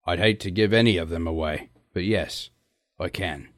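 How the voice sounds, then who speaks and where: A man's voice: low-pitched, moderate pace, dry recording, clean studio sound, close to an everyday voice. A man playing a skeptical, proud ally in an amateur audio drama. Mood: skeptical, grudging. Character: skeptical, proud man who knows secret tunnels.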